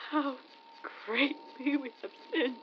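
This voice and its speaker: A woman's voice: high-pitched, steady tone, takes it slowly. A woman playing an unhinged, pious curate in an audio drama, declaiming fervently about sin and doom. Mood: anguished penitence. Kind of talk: audio drama acting, fervent preaching and prayer. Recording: dull audio with faint noise.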